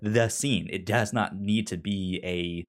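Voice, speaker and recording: A man with a stutter chatting casually as a review podcast co-host, in a halting, informal way. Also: low-pitched. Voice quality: stutter, repeating sounds and words.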